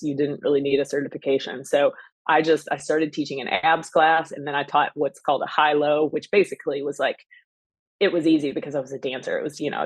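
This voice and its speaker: A woman's voice: low-pitched, dry recording. Texan woman in her forties, rambling. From Texas. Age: 40s. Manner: rambling, many fillers and restarts. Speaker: podcast host, physician. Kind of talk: casual solo monologue.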